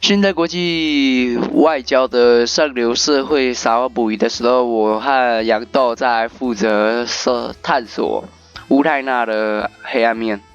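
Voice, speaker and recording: A man's voice: mid-range voice, steady tone, a little slow, smooth, lo-fi sound. A man giving casual game commentary and reading story text aloud. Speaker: gaming video host. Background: game music.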